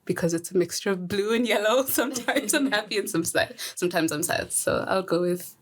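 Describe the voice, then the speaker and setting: A woman's voice: mid-range voice, animated, a little fast. Zambian-born woman hosting a podcast. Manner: friendly, laughs often. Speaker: podcast host. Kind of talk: casual podcast conversation.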